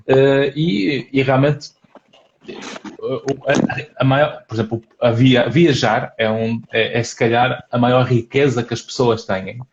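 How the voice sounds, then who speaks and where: A man's voice: medium pitch, darkish tone, lo-fi sound, a little hiss or hum. A man with a European Portuguese accent, a psychologist hosting a casual live chat, talking thoughtfully and hesitantly with many fillers. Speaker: psychologist host.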